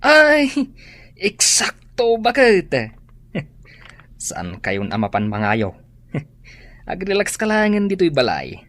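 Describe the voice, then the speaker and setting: A man's voice: high voice, huge pitch swings, takes it slowly, long pauses, a bit bright, dry recording, full character voice. A man with an Ilocano Filipino accent playing a sly, scheming husband in a comedic radio drama. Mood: sly, pleased.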